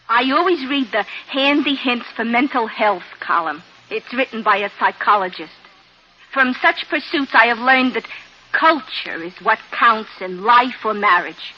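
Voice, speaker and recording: A woman's voice: a little high, pushed and bright. An actress playing a prim young working-class woman striving for culture, using pompous big words with affected care, in a 1950s radio drama. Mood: lofty, earnest. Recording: muffled, noisy vintage radio recording.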